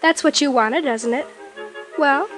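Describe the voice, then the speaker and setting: A woman's voice: high voice, a little slow, over loud music. An actress playing a self-assured sixteen-year-old American girl in a 1950s radio drama. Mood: confident.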